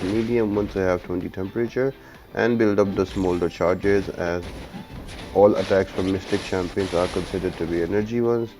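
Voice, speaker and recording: A man with a low-pitched voice and a dark, relaxed tone gives a video game guide. He explains things step by step in an instructional way, with faint music playing behind him. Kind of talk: scripted-sounding game walkthrough commentary.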